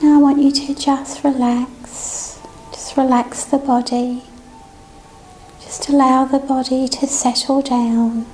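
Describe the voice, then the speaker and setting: Female meditation guide, gentle and soothing, high and mellow, over music. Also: low-quality recording. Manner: gentle, soothing, unhurried instructions.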